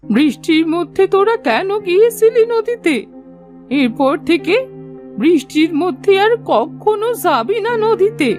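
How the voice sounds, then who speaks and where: A woman's voice: very high-pitched, big pitch swings, measured pace, a little mellow, faint music behind, exaggerated voice. A woman voicing a fretful, scolding old village grandmother in a cartoon story. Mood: scolding, surprised. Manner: scolding, worried, dramatic. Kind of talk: Bengali cartoon story acting.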